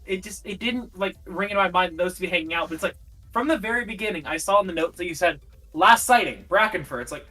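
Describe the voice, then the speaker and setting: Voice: medium pitch, animated, fast talker, sharp tone, distant mic, soft background music. A livestream cast member chatting casually, recorded a bit away from the microphone. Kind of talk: casual chat.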